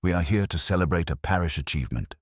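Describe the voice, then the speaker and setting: A British man voicing a calm, courteous police inspector in a mystery story, measured, formal and dryly authoritative. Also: sits low, no echo, studio quality. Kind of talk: storybook character dialogue in a mystery.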